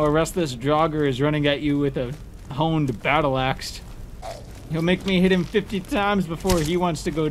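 Higher-voiced man commentating over noisy gameplay. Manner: informal, sweary. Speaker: gaming video creator. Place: indoor room, webcam gaming setup. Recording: noisy, game sounds behind voice.

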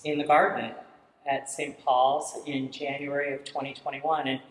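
A middle-aged man, a father in the parish, speaking haltingly and thoughtfully into a handheld microphone in a church. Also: medium pitch, little pitch movement, fairly bright tone. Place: large church sanctuary. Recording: echoey church hall.

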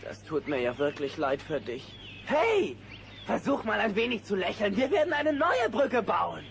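A man voicing a flamboyant, excitable bear artist with a theatrical, breezy, self-satisfied delivery in a German children's audio drama. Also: high voice, normal speed, creaky, exaggerated voice. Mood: encouraging. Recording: noisy old cassette audio drama.

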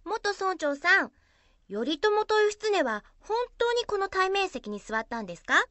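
A woman's voice: extremely high pitch, sing-song, sharp tone, no echo, full character voice. A woman playing a curious, admiring young schoolgirl in an amateur radio drama, asking questions and musing. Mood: polite questioning. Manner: inquisitive, drawn-out musing.

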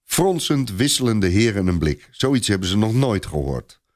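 A man's voice: on the low side, sing-song, moderate pace. A man narrating a scripted story in a dramatic style.

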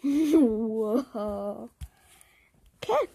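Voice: medium pitch, animated, drawn-out words, creaky, dark tone, slightly rough. A child hosting a casual video, trying out and showing a phone app. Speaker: video host.

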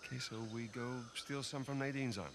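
A man's voice: mid-range voice, fast, noisy background, lightly acted. A man playing a stubborn, roguish older-brother treasure hunter in a video game, talking in a natural, brash and insistent way.

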